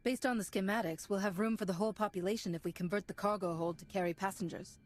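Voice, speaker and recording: A woman's voice: medium pitch, fairly even intonation, natural voice. Woman playing a composed, resolute Jedi hero. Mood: matter-of-fact. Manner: measured, matter-of-fact. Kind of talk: video game cutscene acting.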